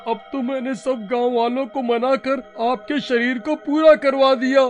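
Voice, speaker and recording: A very high-pitched jittery village boy. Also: unhurried. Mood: scared. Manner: excitable, whiny, stammering when scared. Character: jittery, kind-hearted village boy, frightened and cheeky. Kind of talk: animated story acting.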